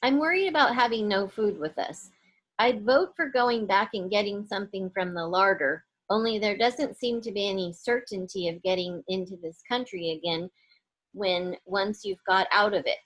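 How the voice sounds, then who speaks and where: A woman reading the part of a sensible, responsible eldest boy in a storybook, in a measured, serious manner. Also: mid-range voice, lightly acted, rough recording.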